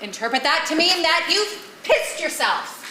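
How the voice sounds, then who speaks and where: A woman's voice: extremely high pitch, big pitch swings, measured pace, exaggerated voice. A woman playing a bossy, stubborn director in an amateur stage comedy, theatrical and insistent, devoted to an absurdist playwright. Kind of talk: live amateur one-act stage comedy. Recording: echoey, noisy live theatre recording.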